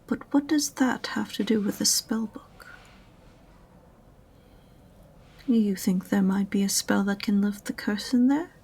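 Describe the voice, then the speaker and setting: A woman playing an unenthusiastic, sarcastic witch librarian in an audio roleplay, speaking softly and breathily with a condescending, exasperated manner. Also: mid-range voice, normal speed, creaky, breathy edge. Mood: grudgingly intrigued. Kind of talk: audio roleplay acting addressed to the listener.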